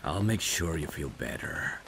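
A man's voice: rumbling low, animated, unhurried, gravelly, noisy background. A man acting a romantic ASMR roleplay as a caring, protective friend, speaking tenderly and intimately. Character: caring, protective male friend secretly in love. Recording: rain ambience behind the voice. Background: rain.

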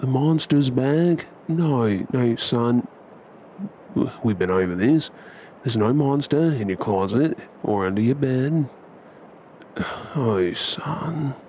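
An Australian man performing an ASMR bedtime roleplay as an affectionate, cheeky young husband and dad, coaxing and hushed. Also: medium pitch, slight background noise. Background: ambient sound effects.

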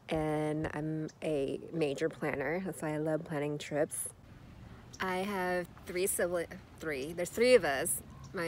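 A woman in her thirties chatting casually in a vlog, filmed on a phone held at arm's length outdoors and in a car. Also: low-pitched. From United States. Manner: chatty, self-correcting, recorded at arm's length while walking and riding. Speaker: vlogger. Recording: noisy phone recording outdoors.

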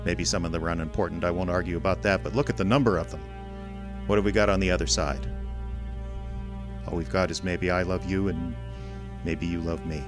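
An actor playing a stern, cynical private detective in an old-time radio drama, terse and deliberate. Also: male voice, deep voice, steady tone, music in the background, close to an everyday voice. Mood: stern, insistent. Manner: terse, tough-talking, deliberate. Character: hard-boiled male private detective, stern, cynical, wounded but resolute.

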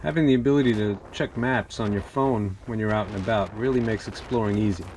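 A man narrating his kayak fishing day in a relaxed, reflective voice-over. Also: on the low side, brisk, heavy background noise. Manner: easygoing, reflective. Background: music.

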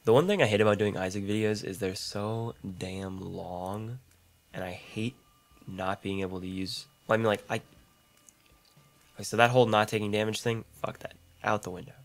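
A young man with a deep voice and a slightly rough throat, chatting casually through gameplay commentary into a condenser mic, rambling and self-deprecating, with audible mouth clicks. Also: steady tone, moderate pace. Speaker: gaming YouTuber. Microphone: large condenser studio mic.

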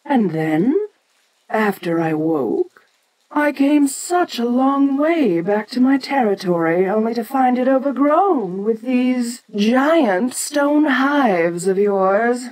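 A woman's voice: fairly high pitch, expressive, slow, darkish tone, low-quality recording. A woman playing an ancient, domineering giant kaiju queen in an audio roleplay, speaking with imperious condescension. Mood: proud. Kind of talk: scripted audio roleplay addressed to the listener.

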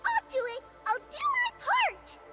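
A sweet, innocent little rabbit girl from a video game. Her extremely high voice is slow, polite and earnest, with loud music behind it. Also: sing-song, heavily acted. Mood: determined. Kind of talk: video game cutscene acting.

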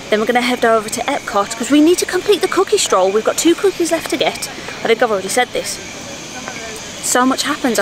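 Woman, fairly high voice, chatty vlog talk, loud outdoor background noise. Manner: chatty and enthusiastic, talking to viewers. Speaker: vlogger. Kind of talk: casual travel vlog chat. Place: outdoors in a busy theme park.

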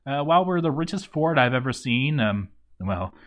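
A man's voice: medium pitch, very animated, moderate pace, natural voice. A man voicing a grouchy, boozy zombie dwarf overseer in a game journal story, stammering and exasperated. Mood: exasperated, baffled. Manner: stammering, exasperated, hammy delivery. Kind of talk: dramatic reading of a game journal.

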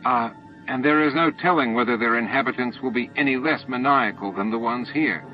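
A man's voice: mid-range voice, a little fast, voice pushed up, close to an everyday voice. A man voicing a pedantic alien science master who explains things precisely, in an audiobook. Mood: matter-of-fact. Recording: dull lo-fi tape audio with music.

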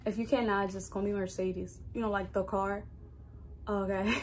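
A young woman vlogger from the Dominican Republic, with a Dominican accent and Spanish as her first language. She talks casually and chattily at a medium pitch, straight to camera. A faint layer of room noise sits behind her voice. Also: level tone, moderate pace, a little mellow. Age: early 20s. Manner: chatty, informal, conversational asides. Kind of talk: casual get-to-know-me vlog talk to camera.

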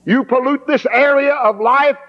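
A man's voice: very high-pitched, normal speed, dull audio. A man preaching a 1960s camp meeting sermon, fervent and emphatic, recorded on old tape in a hall.

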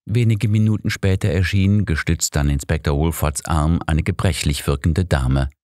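Male audiobook narrator, deep register, dry studio sound, scripted storytelling. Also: moderate pace, darkish tone, no room sound.

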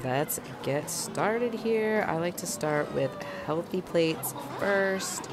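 A woman's voice: lowish voice, expressive, takes it slowly. A woman in her forties giving an upbeat, enthusiastic food review in a casual vlog style. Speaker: vlog host. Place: busy casino buffet restaurant, indoors. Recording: loud music and restaurant crowd noise. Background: loud music, crowd chatter.

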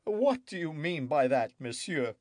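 A man's voice: a little high, big pitch swings, measured pace, darkish tone, no room sound, pitched above the performer's own voice. A man reading an audiobook, voicing a suspicious, petulant young French king in a querulous, demanding way. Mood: indignant. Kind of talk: audiobook character voice.

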